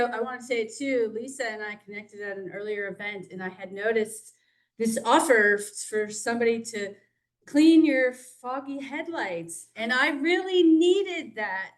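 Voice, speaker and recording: A woman's voice: a little high, distant mic. A woman organizer making casual announcements, laughing lightly between points. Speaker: event organizer. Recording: voice from away from the mic.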